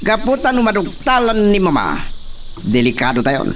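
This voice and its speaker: A man's voice: high-pitched, big pitch swings, moderate pace, a bit bright, slight background noise. A man playing a commanding young master in a radio drama who gives orders and scolds his servant.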